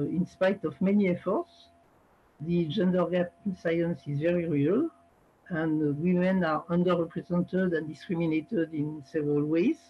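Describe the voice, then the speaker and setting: A woman's voice: fairly low pitch, breathy edge. A woman giving a remote conference presentation through a headset mic, speaking hesitantly with many fillers and restarts. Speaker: panel speaker presenting a project. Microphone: headset microphone. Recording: distorted, muffled video call audio.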